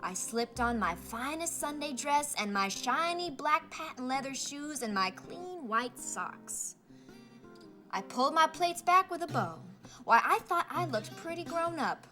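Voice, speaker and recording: A woman's voice: high voice, pitched above the performer's own voice, exaggerated voice. Woman acting a folksy Southern grandmother, music behind. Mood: playful, proud. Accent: Southern American. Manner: folksy, animated, drawn-out storytelling with rural dialect. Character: folksy, spirited Southern grandmother reminiscing about her girlhood. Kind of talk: children's storybook character reading.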